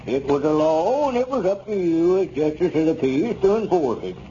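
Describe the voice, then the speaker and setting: Smooth, conciliatory American country squire, acted by a man, soft-toned, old radio comedy with dulled sound. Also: on the high side, lively intonation, moderate pace, natural voice. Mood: genial, conciliatory. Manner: genial, ingratiating. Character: smooth-talking, seemingly contrite small-town squire. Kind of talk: 1930s radio comedy acting. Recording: muffled, noisy 1930s radio recording.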